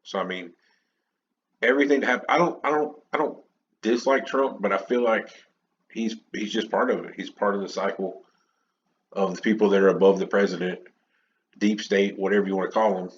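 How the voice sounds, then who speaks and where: A very low-voiced American man delivers an off-the-cuff opinion monologue as a podcast host. His talk is casual and wandering. The audio is dull and lo-fi, with some faint noise behind him. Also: steady tone, fairly quick, long pauses. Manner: rambling, conversational.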